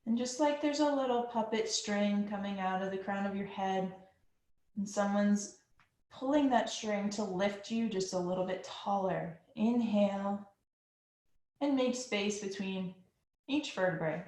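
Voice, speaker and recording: Slow, calm woman guiding yoga breathing. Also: medium pitch. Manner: slow, calm, soothing guidance. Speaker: yoga instructor. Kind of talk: guided yoga breathing instruction. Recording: echoey room.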